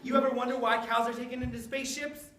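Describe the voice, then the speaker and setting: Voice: mid-range voice, flat delivery, fast, a bit bright, natural voice. A performer acting a sullen, sarcastic, whiny schoolboy in a staged script reading. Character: sulky, sarcastic phone-addicted boy. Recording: echoey, noisy room recording.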